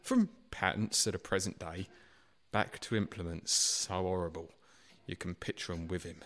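A man's voice: low voice, steady tone, normal speed, a bit bright. A man playing a nervous, deferential butler in a radio play, hesitant and stammering. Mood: confiding. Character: nervous, deferential male butler cornered by his betters.

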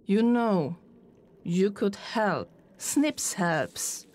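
A woman playing a dry, bossy, practical adventurer in a comedic audio drama, deadpan and matter-of-fact. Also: mid-range voice, drawn-out words. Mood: businesslike.

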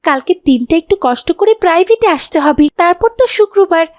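A woman's voice: very high-pitched, expressive, brisk, darkish tone, no room sound, studio quality. A woman voicing a chatty, friendly and pious schoolgirl in a cartoon, with an earnest girlish manner. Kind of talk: cartoon dialogue.

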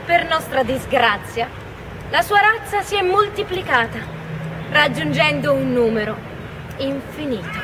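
Very high-pitched young woman storyteller outdoors. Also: animated, a little slow. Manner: projected, dramatic delivery to an open square. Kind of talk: live theatrical fairy tale storytelling. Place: outdoors in a city square. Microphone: camera a few metres away. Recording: distant mic, loud urban street noise. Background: traffic and people outdoors.

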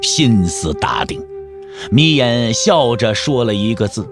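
A man's voice: medium pitch, big pitch swings, slow pace, over music. A man narrating a martial-arts audio drama in a theatrical, wry storytelling style. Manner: theatrical, wry, with rhetorical questions.

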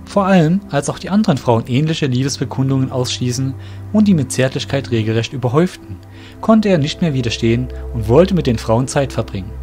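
A man narrating a fan-made audio drama in a calm, even storytelling manner. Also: medium pitch, a little fast. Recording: soft background music.

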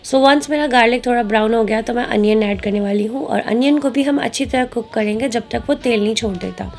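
A woman's voice: a little high, brisk, soft background music. A woman, chatting casually and instructively as she narrates a recipe, switching in English words. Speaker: cooking vlog host. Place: home kitchen, indoors.

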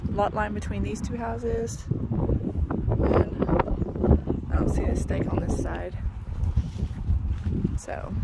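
A woman's voice: mid-range voice, gravelly, very noisy. A woman, chatting casually and thinking aloud with plenty of ums while she walks through a house being built, filmed on a phone. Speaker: real estate agent. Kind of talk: casual walkthrough video. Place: house under construction, indoors and in the yard. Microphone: phone held by the speaker.

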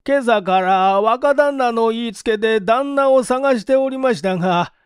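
Rustic farmhand, audiobook character, high-pitched, panicked, slow drawn-out cries, dry recording. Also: male voice, clean tone, no echo. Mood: urgent, breathless reporting. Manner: drawn-out shouting in rustic dialect. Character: panicked rustic farmhand reporting a death to his master.

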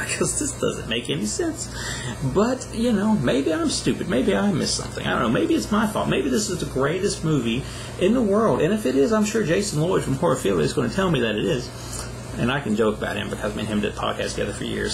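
A man's voice: fairly high pitch, vocal fry, background noise. A man in his thirties giving a casual, rambling, exasperated video review, talking conversationally into a camera indoors. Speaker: film reviewer. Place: small plain room indoors.

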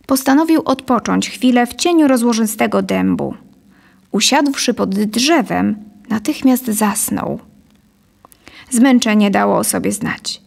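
Slow, creaky woman storyteller. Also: a little high, darkish tone. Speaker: narrator. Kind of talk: scripted storytelling narration. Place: dim studio set, indoors. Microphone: studio condenser on a boom, close.

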